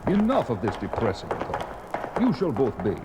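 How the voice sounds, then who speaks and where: An actor playing a courtly nobleman with hidden sinister intent, formal and theatrical in a 1970s horror audio drama, with a husky voice. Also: medium pitch, wildly swooping pitch, moderate pace, loud music behind the voice. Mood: gracious, insistent. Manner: formal, smooth-talking, theatrical.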